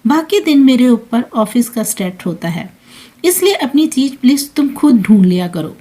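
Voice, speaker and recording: A woman's voice: a little high, big pitch swings, normal speed, dark tone. A woman voicing a self-centred working daughter-in-law in a family story, breezy and entitled in manner. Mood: annoyed.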